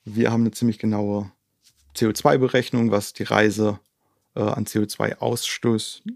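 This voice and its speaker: A man's voice: on the low side, little pitch movement, normal speed, gravelly, dry recording. Man explaining, dry close sound. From Germany. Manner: explains in detail, often tags sentences with "ne?". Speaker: conservation organisation co-founder, interview guest. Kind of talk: explanatory interview talk.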